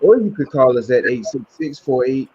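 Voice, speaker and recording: A man's voice: medium pitch, lively intonation, normal speed, dark tone, slight background noise. A man hosting a community podcast and wrapping up a panel talk, with many fillers and repeated words. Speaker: podcast host. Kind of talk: closing a panel discussion. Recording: dull lo-fi sound.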